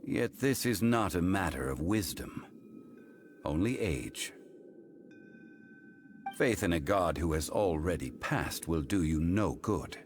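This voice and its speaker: An actor voicing an ancient god in human form, a calm and dignified scholarly man who speaks formally and without hurry, with game music underneath. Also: sits low, exaggerated voice. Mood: solemn. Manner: measured, formal and unhurried. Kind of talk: game cutscene voice acting.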